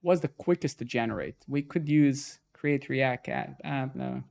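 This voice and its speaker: A man's voice: mid-range voice, measured pace, creaky, a little mellow. A man originally from Serbia hosting a casual live-coding stream, talking loosely, swearing when frustrated and tagging lines with "right?" and "man". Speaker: stream host.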